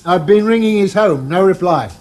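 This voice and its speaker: An actor playing a dutiful, friendly police sergeant in a radio crime drama. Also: male voice, high voice, animated, moderate pace, darkish tone. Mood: deferential.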